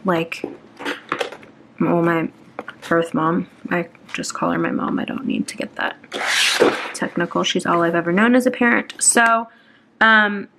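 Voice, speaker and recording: Slow, gravelly-voiced young woman rambling nervously. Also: mid-range voice, fairly bright tone, a little hiss or hum. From Atlanta, Georgia, US. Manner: rambling, self-conscious, many ums and restarts. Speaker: planner vlogger. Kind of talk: chatty plan-with-me voiceover while decorating a planner.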